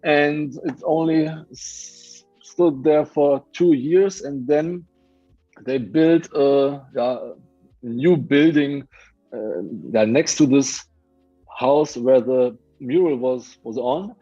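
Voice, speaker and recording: A man from Hungary with a Hungarian accent, a muralist guest on a video call, speaking haltingly with many restarts as he searches for words. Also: medium pitch, dark tone, no room sound, lo-fi sound, soft background music. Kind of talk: casual interview chat. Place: home, remote video call.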